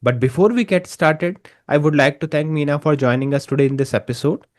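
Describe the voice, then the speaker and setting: A man hosting a podcast interview, asking loose, halting questions with many fillers. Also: on the high side. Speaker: podcast host. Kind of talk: interview host.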